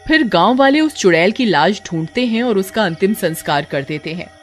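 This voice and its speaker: A woman's voice: mid-range voice, lively intonation, brisk, soft background music. A woman giving scripted narration of a horror story.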